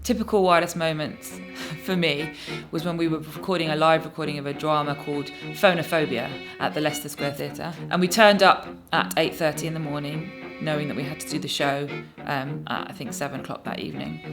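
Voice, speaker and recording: A woman's voice: deep voice, fairly even intonation, fairly quick. Woman in an interview over guitar music. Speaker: theatre company founder. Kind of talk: reflective interview talk with anecdotes. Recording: music playing behind speech.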